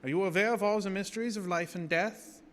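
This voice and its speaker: An actor playing a grave, commanding professor who hunts vampires, delivering probing, theatrical speeches in gothic radio drama. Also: male voice, high-pitched, fast talker, fairly bright tone. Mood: intense, lecturing. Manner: theatrical, probing rhetorical questions. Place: live theater stage. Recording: reverberant theater hall with noise.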